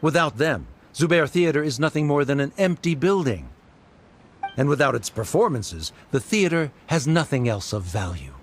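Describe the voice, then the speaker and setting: An actor playing a haughty, coldly rational scholar in a video game, speaking formally and condescendingly. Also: male voice, a little high, sing-song, moderate pace, a little hiss or hum. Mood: accusing. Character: haughty male scholar accuser, cold and coolly rational. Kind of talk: scripted video game dialogue.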